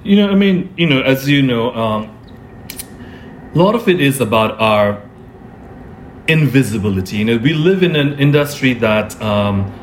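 A man who is a fashion designer, speaking in a conversational interview, thoughtful and often pausing to search for his words. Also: medium pitch, expressive, slight background noise. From born in Singapore, grew up in Nepal and India.

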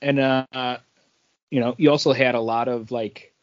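A middle-aged man talks casually as a podcast co-host, with a mid-pitched voice. He rambles from one anecdote to the next. The sound is very dry and slightly dull, with a lo-fi edge. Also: fairly even intonation, moderate pace, no echo. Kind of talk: casual chat about entertainment news.

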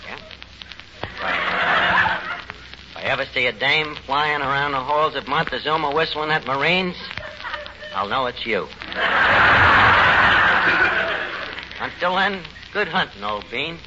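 An actor playing a melodramatic, self-important, uneducated tavern manager with a New York accent, mangling lofty phrases in 1940s radio comedy style. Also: male voice, mid-range voice, expressive, moderate pace, pauses often, close to an everyday voice. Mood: wry. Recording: noisy, muffled 1940s radio recording.

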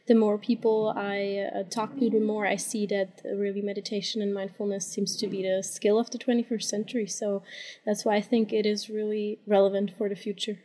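A woman's voice: mid-range voice, little pitch movement, fairly quick, mellow. A young adult woman, a university student, introducing herself conversationally as a podcast guest.

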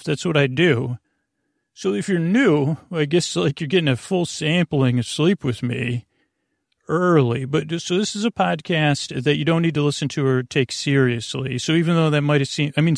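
Gravelly guy doing a goofy sleep podcast ramble, keeps losing his thread mid sentence, really dry close sound. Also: fairly high pitch, dry recording. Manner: meandering, halting, goes off topic mid-sentence; goofy, self-deprecating. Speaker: podcast host.

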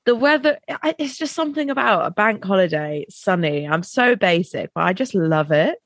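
A young woman, co-hosting a casual podcast chat, her voice croaky and tired, laughing and casual. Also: medium pitch, lively intonation, normal speed, no echo, clean studio sound. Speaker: podcast co-host.

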